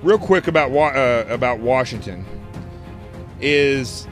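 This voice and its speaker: A man hosting a political commentary livestream, preaching emphatically and repeating phrases, his voice going hoarse. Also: medium pitch, animated, slow pace, soft background music. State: losing his voice. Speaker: show host.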